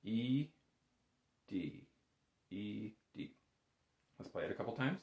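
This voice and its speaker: Low-voiced man teaching in an echoey room. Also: expressive, heavy background noise. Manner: patient, encouraging, explains slowly step by step. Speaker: bagpipe instructor. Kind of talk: informal step-by-step music lesson. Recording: roomy, echoey indoor sound.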